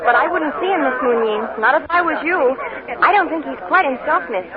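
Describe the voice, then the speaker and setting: An actress playing a cautious, respectful young housemaid in 1940s radio acting. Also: female voice, high-pitched, lively intonation, fairly quick, over a noisy crowd. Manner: respectful, warning. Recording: tinny 1940s radio broadcast.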